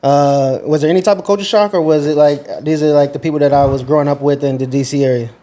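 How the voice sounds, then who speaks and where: A young podcast host leads the talk in an upbeat, friendly way. His voice sits a little high and sounds mellow and relaxed. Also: fast. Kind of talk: casual interview chat.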